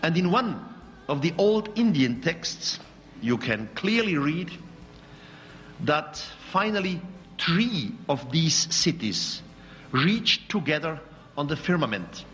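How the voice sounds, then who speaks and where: Man, swiss german accent, lively rising and falling voice, lecture in a reverberant hall. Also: on the high side, slow pace. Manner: accented, searching English with slips. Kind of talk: public lecture. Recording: hall recording with faint music.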